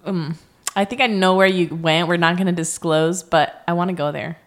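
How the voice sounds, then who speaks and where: A young woman whose voice sits a little low for a woman. She chats casually as a podcast co-host, teasing and asking playful questions. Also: normal speed. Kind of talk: casual chat.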